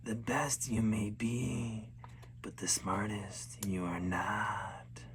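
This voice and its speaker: A man voicing menacing creatures that live under the sea ice, in a slow, breathy whisper. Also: on the low side, level tone, a bit bright, a little hiss or hum, full character voice. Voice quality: breathy whisper, like snow blowing over ice. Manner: slow, drawn-out, sing-song when chanting.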